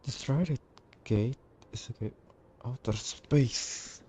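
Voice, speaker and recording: A man's voice: mid-range voice, takes it slowly, mellow, husky. A man with an Indonesian accent casually streaming a game, reacting and reading on-screen text aloud. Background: game music.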